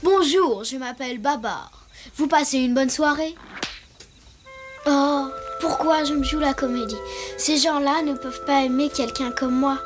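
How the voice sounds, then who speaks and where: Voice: high voice, unhurried. A performer voicing a young orphaned elephant in a French cartoon, childlike, polite, eager and a little anxious. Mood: nervous. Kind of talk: French-dubbed cartoon acting. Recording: music behind the voice.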